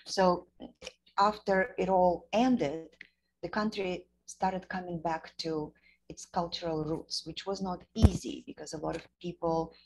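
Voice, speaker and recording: Woman in her forties, Ukrainian accent, native Russian speaker, earnest and explanatory, slightly low voice, webcam audio with faint hum. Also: steady tone, measured pace, gravelly, low-quality recording. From eastern Ukraine. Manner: earnest, explanatory, with frequent restarts and "you know". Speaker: filmmaker and journalist guest. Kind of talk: interview over video call. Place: indoors at home. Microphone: video call webcam with earphones.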